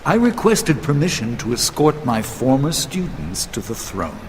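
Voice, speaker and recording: An actor playing a grave but gentle teacher in an audio drama, speaking in a measured, solemn, kindly way. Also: male voice, mid-range voice, wildly swooping pitch, a little hiss or hum. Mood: grave, regretful. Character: grave, gentle old teacher escorting former student to judgment.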